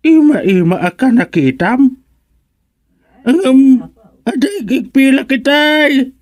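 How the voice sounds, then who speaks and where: A woman voicing a forgetful, grieving elderly parent in a radio drama comedy, halting and muttering, with an Ilocano accent. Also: high voice, lively intonation, darkish tone, full character voice. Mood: confused.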